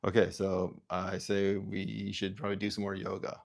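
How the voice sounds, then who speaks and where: A man from Canada talks on a livestream in a very low voice with a gravelly, creaky edge. He muses aloud in halting, restarting sentences and reads viewer comments between thoughts. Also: monotone. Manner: halting, self-interrupting, musing aloud. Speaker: livestream host. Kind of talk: rambling livestream chat.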